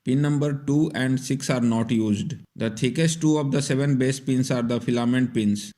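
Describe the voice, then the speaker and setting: A man's voice: mid-range voice, warm tone. A man narrating a scripted technical tutorial in a methodical, step-by-step instructional manner. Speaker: repair tutorial presenter.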